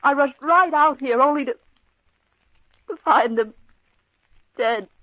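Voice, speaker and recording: A woman's voice: high-pitched, slow pace, dry recording. An actress playing a grieving young woman in a 1940s radio drama, earnest and pleading. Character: grieving young woman, a murdered man's fiancée. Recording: muffled old radio broadcast.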